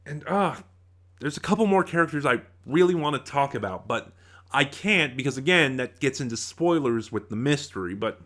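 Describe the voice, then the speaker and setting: A man's voice: mid-range voice, expressive, moderate pace, fairly bright tone. Man giving a casual, off-the-cuff review. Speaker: reviewer, host of audio drama reviews. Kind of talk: casual unscripted review to viewers.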